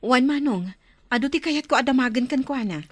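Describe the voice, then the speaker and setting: High-voiced, concerned younger sister. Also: female voice, expressive, a little fast, no echo. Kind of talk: Ilocano radio drama acting.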